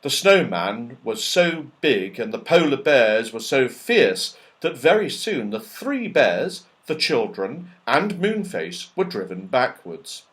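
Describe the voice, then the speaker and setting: A man's voice: mid-range voice, big pitch swings, takes it slowly, hardly any pauses, a bit bright. A man narrating a children's story expressively, with dramatic and emphatic phrasing. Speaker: audiobook narrator.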